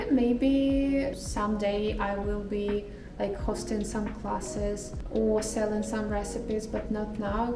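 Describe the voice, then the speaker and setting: Young woman in her twenties, roomy and distant. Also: fairly high pitch. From Kazakhstan. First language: Russian. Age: 20s. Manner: thoughtful, frequent fillers and restarts. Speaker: home baker vlogger. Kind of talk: casual Q&A vlog chat. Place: home. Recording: roomy echo, mic at a distance, music behind. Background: background music.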